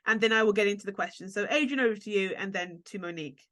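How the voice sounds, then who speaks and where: A woman's voice: mid-range voice, fast talker, a bit bright. A woman hosting an interview podcast, chatty and upbeat, talking to her guests and listeners with plenty of asides. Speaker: podcast host. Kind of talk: casual interview chat.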